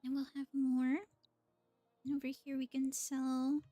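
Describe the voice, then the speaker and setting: A woman's voice: sits high, completely flat delivery, measured pace, warm tone, no room sound, faint music behind. A woman live-streaming a video game, chatting casually and thinking aloud as she plays.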